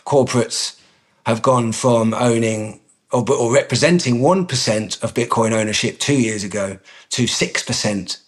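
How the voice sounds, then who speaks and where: A man, a company executive director, answering interview questions in a measured way with frequent ums and restarts. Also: mid-range voice.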